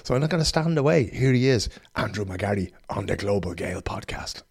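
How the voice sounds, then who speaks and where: A man's voice: fairly low pitch, animated, fairly quick, vocal fry, loud music behind the voice. Chatty Irish man hosting a podcast. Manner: chatty and jokey, colloquial. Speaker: podcast host. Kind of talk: casual podcast chat.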